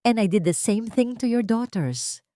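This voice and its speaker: A woman's voice: fairly high pitch, lively intonation, unhurried, dark tone, no echo, studio quality, voice pushed up. A woman voicing a grieving male doctor, anguished and remorseful.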